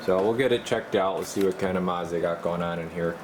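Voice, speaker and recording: A man working as a radio repair technician, giving casual, halting bench commentary as he mutters and thinks aloud. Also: sits low, noisy background. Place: indoor radio repair workbench.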